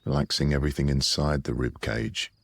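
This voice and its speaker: Super deep male voice, really even, doing a soothing guided meditation w long pauses, close dry mic. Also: gravelly, breathy edge, no echo.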